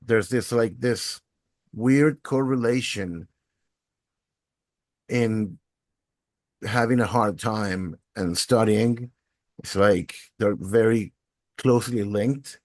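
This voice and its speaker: A man's voice: on the low side, takes it slowly, long pauses, darkish tone, no room sound. A man in his forties from Venezuela, speaking English, telling his story as a podcast guest in a thoughtful, fluent way. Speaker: podcast guest, education technologist. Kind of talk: interview answers.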